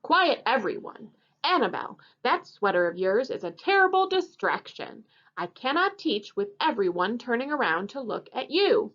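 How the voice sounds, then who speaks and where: A woman's voice: on the high side, sing-song, moderate pace, fairly bright tone. A woman reading a storybook, voicing a stern, exasperated male schoolteacher who scolds emphatically. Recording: dull lo-fi audio.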